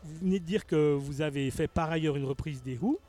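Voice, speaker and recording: A man hosts a relaxed radio chat, his voice sitting a little high for a man. He talks easily and laughs often, restarting his sentences as he goes. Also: brisk. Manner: chatty, laughs easily, stumbles over words. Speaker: radio host. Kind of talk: casual radio interview.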